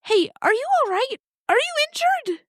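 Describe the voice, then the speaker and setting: Very high-pitched excitable little girl. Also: normal speed, dry recording, clean studio sound, full character voice. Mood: concerned, curious. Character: tender, excitable little girl, frightened but animal-loving. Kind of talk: storybook character voice in audio fiction.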